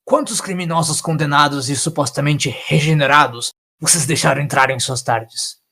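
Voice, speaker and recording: An indignant old time lord from an audio story, acted out by a male narrator, scolding everyone around him. He is loud and forceful, with sarcastic questions pitched above an ordinary speaking voice. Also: normal speed, slightly rough, exaggerated voice. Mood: accusing, indignant. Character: furious accusing old male alien time traveler.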